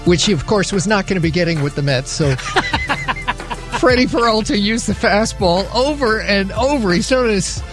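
A man reading the sports update on a talk radio show, rattling off scores and headlines with the odd dry aside. His voice sits a touch higher than average, and a faint music bed runs underneath. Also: lively intonation, moderate pace. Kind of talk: sports news roundup on radio.

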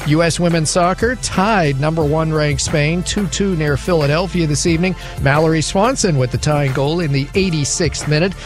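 A man reading the sports update on a talk radio show, rattling off scores and headlines with the odd dry aside. His voice sits a touch higher than average, and a faint music bed runs underneath. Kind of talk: sports news roundup on radio.

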